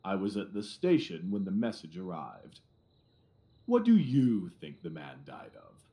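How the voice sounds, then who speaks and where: A man's voice: sits low, huge pitch swings, warm tone, exaggerated voice. A man playing a pompous, self-satisfied middle-aged police detective in an audio drama, blustering and condescending with emphatic repetitions. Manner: blustering, condescending, repeats himself, emphatic pauses.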